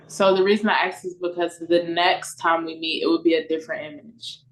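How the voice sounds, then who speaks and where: A woman's voice: lowish voice, steady tone, fairly quick, rough recording. A woman in casual conversation on an online call, talking informally and a little hesitantly. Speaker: collaborator on an audio play. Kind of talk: casual meeting chat. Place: online video meeting. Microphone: video call.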